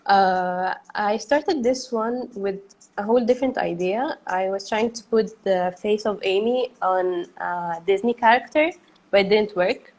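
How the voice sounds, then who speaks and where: A woman from Egypt, speaking softly and hesitantly as a guest artist on a video call, with many fillers and easy laughter. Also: mid-range voice. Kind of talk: casual video-call interview.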